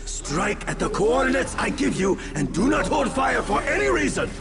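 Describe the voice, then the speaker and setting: A man's voice: very high-pitched, very animated, moderate pace, gravelly, loud music behind the voice, heavily acted. A man playing a desperate battlefield commander under heavy attack in a dramatic, urgent video game performance. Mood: urgent, grim.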